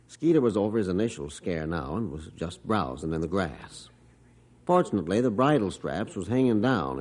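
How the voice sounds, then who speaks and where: A man's voice: low-pitched, darkish tone, breathy edge, full character voice. An actor playing a middle-aged rancher father who tells his story in a folksy, matter-of-fact way in an old radio drama. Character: rancher father Mister Jorgensen, gruff storyteller. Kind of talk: 1950s radio drama narration.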